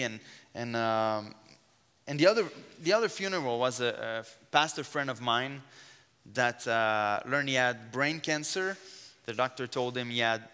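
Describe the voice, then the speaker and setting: French-accented preacher, smooth voice, church reverb. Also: male voice, mid-range voice, pushed and bright. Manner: earnest, fervent prayer with rising emphasis. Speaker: guest preacher. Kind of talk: sermon with opening prayer. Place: church sanctuary. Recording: long hall reverb of a church.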